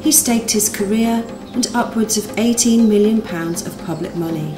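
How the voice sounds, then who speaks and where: A woman delivers scripted documentary narration at a medium pitch. Music plays clearly behind her voice as she reads. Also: moderate pace.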